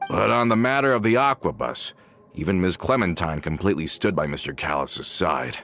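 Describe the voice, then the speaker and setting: A man voicing a game character, explaining past events in an earnest, measured way. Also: low-pitched, expressive, a bit bright, soft background music. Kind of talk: game dialogue.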